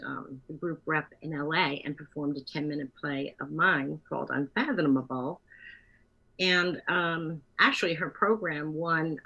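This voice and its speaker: A woman with a slightly low voice speaks as a theater producer in an interview. She is conversational, sometimes reading from notes, and often pauses or corrects herself as she goes. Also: bright, forceful tone, slightly rough, low-quality recording.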